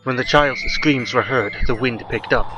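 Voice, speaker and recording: A man's voice: medium pitch, normal speed, low-quality recording. A man narrating a horror audiobook in a dramatic, scripted style. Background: faint music.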